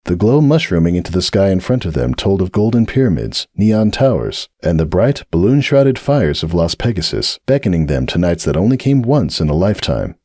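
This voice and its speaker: A man narrating a dramatic reading of fan fiction in an expressive storytelling manner. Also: deep voice, brisk, hardly any pauses, no echo, clean studio sound. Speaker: narrator, reader.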